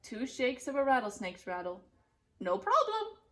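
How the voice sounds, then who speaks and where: Woman acting high, cranky storybook hag witch. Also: big pitch swings, moderate pace, pushed and bright, full character voice. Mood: gleeful, scheming. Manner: theatrical, exclaiming, bossy. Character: cranky, scheming hag witch craving piggy pie. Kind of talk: storybook character voice.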